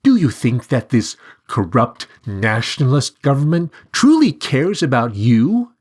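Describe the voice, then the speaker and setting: A man voicing a sly, coaxing talking bird with a high sing-song voice in a storybook reading. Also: sing-song, a little slow, a little mellow.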